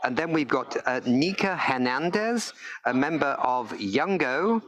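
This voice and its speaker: A man's voice: mid-range voice, lively intonation, a little slow, a bit bright. Male panel moderator, mid-range voice, informal, slightly hesitant live hosting.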